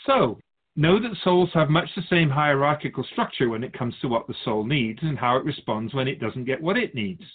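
An actor playing an otherworldly keeper of souls in a radio drama, patiently teaching humans in a measured, all-knowing way. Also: male voice, mid-range voice, expressive, lightly acted. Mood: patient, instructive. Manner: didactic, measured explaining, speaks of humans as outsider. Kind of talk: scripted radio drama dialogue. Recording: dull phone-like narrow sound.

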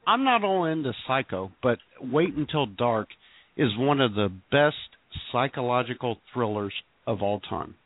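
A man co-hosting a radio show in casual, excited chat. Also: mid-range voice, moderate pace. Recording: tinny phone-like sound.

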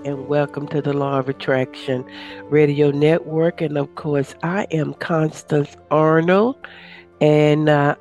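A woman hosting a radio show, her voice raspy and hoarse from days of talking, chatty and upbeat. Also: a little mellow, muffled recording. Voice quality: raspy, deeper than usual. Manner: chatty, upbeat, promotional, with many uh fillers. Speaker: radio show host and success coach. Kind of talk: casual radio talk.

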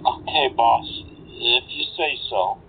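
A man's voice: medium pitch, takes it slowly. An actor playing a nervous, dim henchman burglar in an audio drama, stammering and deferential, heard through a radio-like filter. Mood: shaken. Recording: tinny phone-like radio line with hiss.

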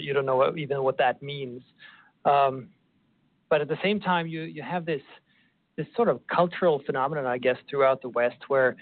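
A man with a Swedish accent, a professor guest, answering in a measured way and often repeating words. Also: medium pitch, no room sound. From Sweden. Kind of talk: conversational interview. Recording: tinny phone line.

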